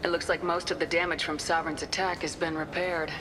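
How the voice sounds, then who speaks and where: A woman acts out a composed female military commander in a sci-fi game. She has a low voice and a direct, dry, probing manner. Noticeable ambience runs behind her. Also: little pitch movement, quick pace, natural voice. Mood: businesslike. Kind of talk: video game dialogue. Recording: clearly audible ambient noise.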